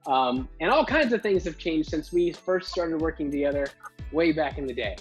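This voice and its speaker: A man's voice: a little high, animated, moderate pace, husky, soft background music. A man hosting an interview show, asking questions and reacting with enthusiasm in casual chat. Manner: enthusiastic, affirming. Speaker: podcast host.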